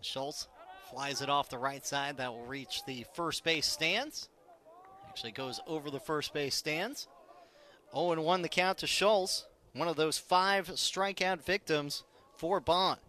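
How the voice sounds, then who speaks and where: High-pitched American male baseball announcer. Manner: measured narration that builds to excited calls. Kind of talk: live radio sports commentary.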